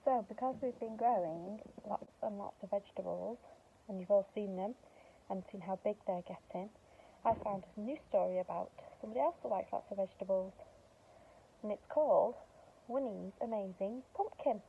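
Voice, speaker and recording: A woman's voice: mid-range voice, normal speed, vocal fry, phone-quality sound, slight background noise. A woman speaking to young children as a teacher and story reader, explaining simply, with questions and emphasis. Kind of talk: speaking to young children, reading a picture book.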